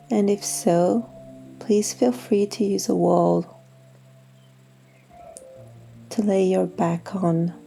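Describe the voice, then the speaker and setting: A woman guides a meditation with slow, soothing instructions. Her voice is mellow and relaxed, sitting at a medium pitch with heavy vocal fry. She speaks softly and leaves generous pauses between short phrases. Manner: soothing, gentle, unhurried instruction with long pauses. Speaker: yoga nidra guide.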